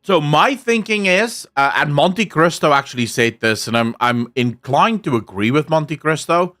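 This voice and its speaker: A man from South Africa with a soft South African English accent, Afrikaans his first language, chatting casually on a stream and answering chat. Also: on the high side, animated, normal speed. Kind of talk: casual live-stream chat, reading comments.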